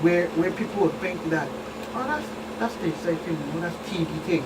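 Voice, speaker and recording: A woman's voice: lowish voice, a little fast. A woman with a Virgin Islands Caribbean accent speaks emphatically as a guest expert in a talk show interview, repeating phrases as she recounts cases. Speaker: police commander, guest expert. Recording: distant mic, noisy room hum.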